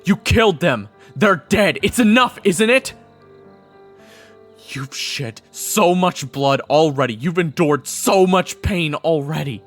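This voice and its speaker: A man's voice: a little high, animated, slow pace, fairly bright tone, full character voice. Man acting an earnest fantasy hero over music. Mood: desperate, pleading. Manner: earnest, emotive. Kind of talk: audio drama acting and narration.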